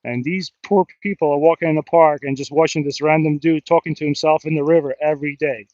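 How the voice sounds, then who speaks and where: American male live streamer, slightly high voice, rambling and self-mocking, dry but muffled lo-fi outdoor recording. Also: steady tone, quick pace, a little mellow, no room sound. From New Jersey, United States. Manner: rambling, self-deprecating, joking. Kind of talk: casual live stream chat. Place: outdoors in a river in a park.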